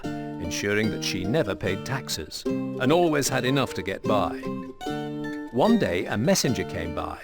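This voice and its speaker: A man performing as the formal, measured storybook narrator of a medieval war game. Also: medium pitch.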